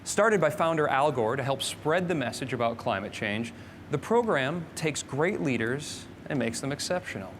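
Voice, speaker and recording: A man's voice: mid-range voice, expressive, normal speed, fairly bright tone, slight background noise. A man presenting a live show, reading scripted links in a polished, upbeat way. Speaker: host.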